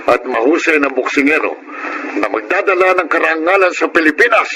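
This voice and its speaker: Hearty, highish-voiced Filipino boxing coach played by a man. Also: brisk, close to an everyday voice. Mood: encouraging. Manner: hearty, encouraging. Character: encouraging, friendly boxing coach, adult man. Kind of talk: Filipino radio drama acting. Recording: noisy radio drama audio.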